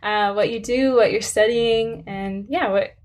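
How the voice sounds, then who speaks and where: A woman's voice: mid-range voice, steady tone, measured pace, darkish tone. A young woman hosting a podcast for the first time, asking questions in a friendly, slightly tentative way. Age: young adult. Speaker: podcast host. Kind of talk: casual interview.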